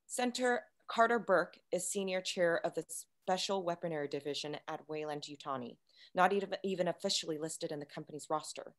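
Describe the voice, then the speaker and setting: A woman playing a composed, secretive android science officer, measured and matter-of-fact, in a sci-fi table read. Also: fairly low pitch, lightly acted.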